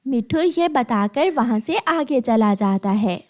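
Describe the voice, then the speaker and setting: A woman's voice: fairly high pitch, animated, takes it slowly, a little mellow. A woman narrating a scripted cartoon story as a storyteller.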